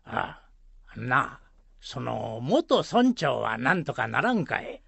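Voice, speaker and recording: A man playing a gruff, proud old former village headman with a Shizuoka accent in an amateur radio drama, talking in a rustic, hesitant way. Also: mid-range voice, very animated, fairly bright tone, dry recording, heavily acted. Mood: pondering, self-important. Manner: slow, rustic, grunting hesitations.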